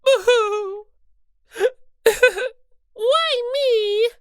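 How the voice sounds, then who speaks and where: An audiobook reader voicing a small, earnest, tearful female rabbit mascot, squeaky and childlike, with plaintive, indignant delivery. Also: extremely slow, no echo, clean studio sound, heavily acted. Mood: tearful, whining. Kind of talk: character voice in fan audiobook.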